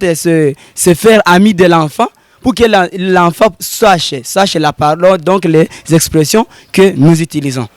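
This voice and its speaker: Congolese man, halting French teacher. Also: high voice, no room sound, clean studio sound. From Congo (DRC), Bukavu. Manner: halting, repeats words while searching for them. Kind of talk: on-camera interview.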